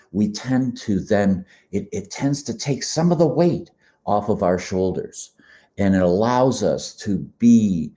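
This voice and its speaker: A man hosting a podcast, giving a slow, meditative spiritual talk in a slightly hoarse, froggy voice. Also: low-pitched, warm tone. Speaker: podcast host. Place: quiet beach condo.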